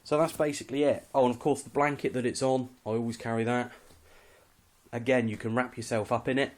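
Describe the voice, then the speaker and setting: A man's voice: mid-range voice, level tone, a little fast. A man with a British accent casually walking through his kit, unscripted, in an understated, matter-of-fact and slightly hesitant way. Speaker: hobbyist presenter showing his kit. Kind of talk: casual unscripted gear walkthrough.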